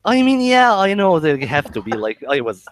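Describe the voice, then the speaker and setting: A man's voice: a little high, very animated, no room sound, studio quality. A man with an Egyptian accent chatting casually and bluntly, swearing and joking, often stammering over the starts of his words. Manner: halting, stammering with repeated word starts. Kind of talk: casual conversation.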